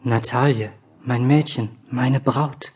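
An actor playing a lovestruck young prince walking in his sleep, with entranced, drawn-out delivery in a classical verse drama. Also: medium pitch, steady tone, darkish tone, slight background noise, lightly acted. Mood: entranced yearning. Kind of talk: classical German verse drama audiobook acting.

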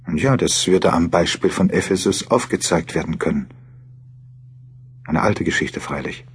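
A man playing a serious writer who narrates his thoughts in a radio play, deliberate and reflective. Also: sits low, husky, full character voice. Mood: musing. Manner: deliberate, musing. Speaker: narrator in an audio drama.

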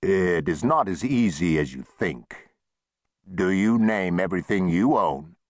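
Man acting a very deep, wry old saloon owner. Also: expressive, unhurried, dry recording, studio quality.

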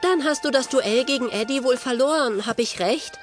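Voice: high voice, moderate pace, soft background music, close to an everyday voice. A performer voicing an anxious, bookish young sheep in a children's audio play, earnest, careful and slightly know-it-all. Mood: curious, matter-of-fact.

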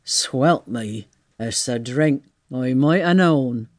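A man's voice: medium pitch, takes it slowly, dry recording, studio quality, voice pushed down, lightly acted. A man voicing a scruffy, bewildered tramp in an audiobook, muttering and exclaiming in slang. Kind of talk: audiobook character voice.

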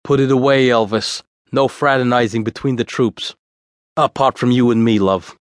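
A man's voice: mid-range voice, fairly even intonation, moderate pace, no echo, clean studio sound, lightly acted. A man voicing a bossy, proud male ringleader who rallies his troupe in a declamatory, boastful way. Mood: firm, bossy.

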